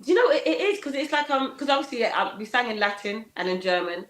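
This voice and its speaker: A woman with a raspy voice, a guest singer telling chatty stories in a casual podcast interview and laughing at herself. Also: fairly high pitch, expressive, fast. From United Kingdom.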